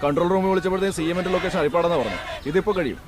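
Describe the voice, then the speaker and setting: An actor playing a hurried policeman explaining himself informally in a naturalistic film scene. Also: high voice, expressive. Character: hurried policeman on escort duty.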